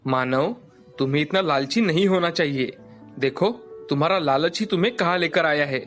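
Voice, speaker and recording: A man voicing a kind, forgiving adult pulao seller in a cartoon, speaking gently and reassuringly. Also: on the high side, moderate pace, soft background music, lightly acted. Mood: scolding. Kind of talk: cartoon voice acting.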